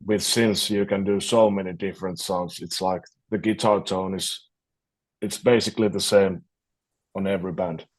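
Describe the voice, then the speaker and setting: Low-voiced man, relaxed guitarist. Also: moderate pace. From Finland. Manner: relaxed, repeats words while thinking. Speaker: guitarist in a metal band, interviewee. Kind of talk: casual interview over video call.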